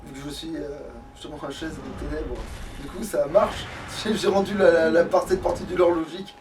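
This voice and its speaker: A man with a slightly high voice talks casually through a video game. He swears and grumbles as he goes. He sounds a little away from the mic, with faint noise behind him. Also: flat delivery, fairly quick, far from the mic. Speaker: let's play host, playing the game. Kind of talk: casual gaming chat.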